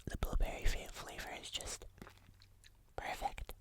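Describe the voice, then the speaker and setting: An ASMR host speaking entirely in a soft, unvoiced whisper, chatting casually through a food review. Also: a little slow.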